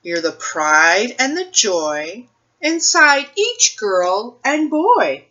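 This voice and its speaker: A woman hosts a storytime for young children and their families. Her voice is bright and forward, with an expressive, unhurried delivery. The recording is slightly dull. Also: on the high side. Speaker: children's librarian hosting storytime. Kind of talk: picture book read-aloud and talk to families.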